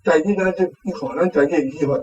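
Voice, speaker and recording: A man's voice: fairly high pitch, quick pace, a little mellow. A man with a Taiwanese accent teaching language off the cuff, explaining and repeating words and phrases for a learner. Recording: roomy, distant mic with faint noise.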